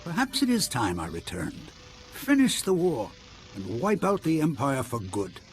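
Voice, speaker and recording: An actor playing a proud, bitter, hawkish doctor in a video game, opinionated and emphatic. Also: male voice, mid-range voice, huge pitch swings, a little slow, faint music behind. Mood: determined. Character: bitter, proud, hawkish older scientist, freed captive. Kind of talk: video game cutscene acting.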